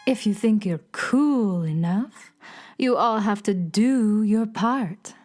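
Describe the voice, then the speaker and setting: A woman's voice: mid-range voice, lively intonation, takes it slowly, darkish tone, faint music behind. A woman playing a laid-back beatnik cool cat from the moon in a children's radio play, talking in hip, drawling slang.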